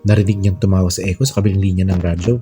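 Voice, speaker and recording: A Filipino man narrating a scripted romance story in a sentimental, expressive way over music. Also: low voice, rapid-fire. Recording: music under the voice. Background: background music.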